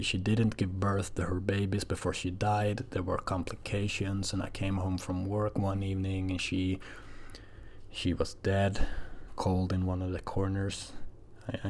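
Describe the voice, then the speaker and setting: Low-voiced man rambling through an audio log. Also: darkish tone. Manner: hesitant, halting, frequently swearing.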